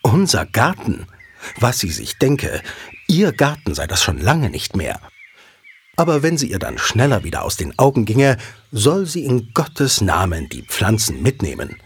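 A man's voice: on the low side, wildly swooping pitch, a little slow, breathy edge. A man narrating a scripted audio drama as a storyteller.

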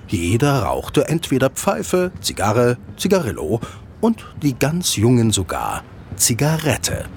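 Male storyteller for radio drama, medium pitch, extremely varied intonation, scripted read. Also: a little hiss or hum. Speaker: narrator. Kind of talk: scripted audio drama narration.

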